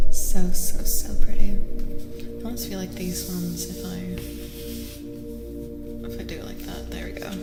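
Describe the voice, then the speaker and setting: Female ASMR tarot streamer, gentle and hushed, creaky voice, echoey sound over music. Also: on the low side. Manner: gentle, soothing, often repeats phrases softly, sometimes dropping to a whisper. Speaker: streamer giving tarot readings. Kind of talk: soft-spoken ASMR, chatting with viewers. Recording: reverberant echo, music behind the voice.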